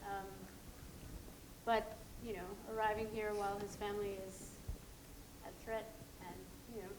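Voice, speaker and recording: A woman's voice: medium pitch, little pitch movement, measured pace, background noise. A woman moderating a live event, introducing the speakers in an upbeat, conversational way. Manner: upbeat, conversational, some fillers.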